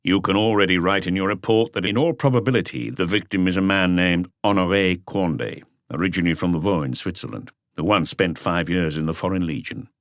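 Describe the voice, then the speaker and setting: Man as unemotional senior police detective, audiobook dialogue, low voice, few words, commanding. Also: fairly quick, hardly any pauses, no echo, studio quality. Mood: probing. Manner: terse, unemotional, authoritative. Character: calm, laconic senior male police detective.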